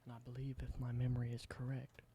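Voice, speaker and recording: A man doing a whispered ASMR roleplay, explaining things slowly and correcting himself now and then. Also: mid-range voice, monotone, background noise. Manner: soft whisper, slow explanations with small self-corrections. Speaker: ASMR creator.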